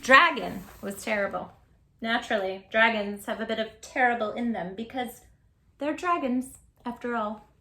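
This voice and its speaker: A woman hosting a children's read-aloud, speaking in an animated, emphatic way straight to small children. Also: a little high, moderate pace. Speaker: children's storytime host and tutor. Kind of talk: children's read-aloud and chat to young viewers.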